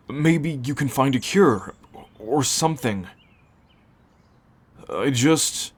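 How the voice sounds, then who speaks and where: A man playing a gruff, brooding teacher who is turning into a werewolf, halting and protective, in an ASMR roleplay. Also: medium pitch, natural voice. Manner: halting, sighing, sardonic.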